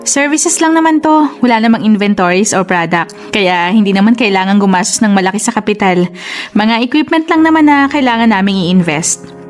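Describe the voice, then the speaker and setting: An actress plays a self-assured Filipina big sister in a radio drama. She speaks at a medium pitch, encouraging and supportive in a conversational way, over faint music. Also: expressive, a little fast, lightly acted. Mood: confident, businesslike. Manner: encouraging, conversational, English-peppered. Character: confident, supportive older-sister business partner, brisk and reassuring.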